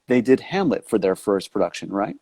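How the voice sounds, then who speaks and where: Male host with a New York accent, low-ish and dry, rambling with frequent restarts, video call. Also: very animated, a little fast, darkish tone, slightly rough, dry recording. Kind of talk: live online interview.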